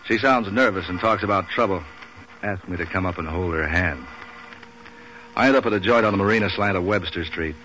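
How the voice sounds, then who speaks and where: An actor playing a cynical, wisecracking American waterfront tough guy, deadpan and sardonic, in 1940s radio noir style. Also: deep voice, lightly acted. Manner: deadpan, hard-boiled, sardonic. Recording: noisy, muffled 1940s radio recording.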